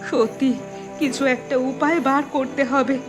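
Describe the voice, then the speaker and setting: A woman's voice: sits high, fairly even intonation, moderate pace, close to an everyday voice. A woman voicing an anxious, dramatic young woman in a horror cartoon, with music behind her. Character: frightened young woman haunted by a ghost, and her sister.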